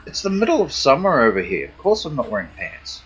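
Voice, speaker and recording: A blunt male stream host with a bright, forceful tone who swears constantly. He grumbles and pushes back on his co-host's teasing in loose, casual chat. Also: medium pitch, huge pitch swings, fast talker, breathy edge.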